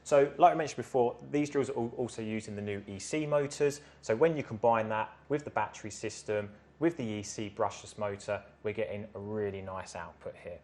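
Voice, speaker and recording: A man's voice: on the low side, a little fast, hardly any pauses, a little mellow. Man casually demonstrating a product. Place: indoor tool workshop showroom.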